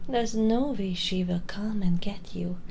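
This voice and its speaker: Woman acting a centuries-old vampire villainess, very slow taunts, breathy half-whispers, sadistic, condescending, close-up ASMR roleplay, noisy ambience behind. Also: medium pitch, darkish tone. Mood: mocking. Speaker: audio roleplay performer. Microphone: close binaural microphone.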